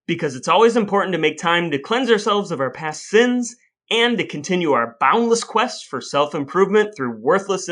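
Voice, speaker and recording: A man's voice: a little high, a bit bright. An American man co-hosting a podcast with wry, mock-formal, wordy phrasing, moving between scripted reading and chat. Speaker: podcast co-host.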